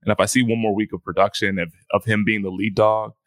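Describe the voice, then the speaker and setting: A man's voice: lowish voice, little pitch movement, fast talker, no room sound, clean studio sound. A man co-hosting a fantasy football podcast in casual chat, often restarting his phrases.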